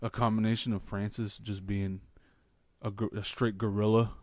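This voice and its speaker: A young man co-hosting a casual podcast, talking bluntly with lots of swearing and animated opinions. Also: lowish voice, normal speed, no room sound. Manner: blunt, profane, animated fight talk. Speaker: podcast co-host. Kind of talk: casual chat.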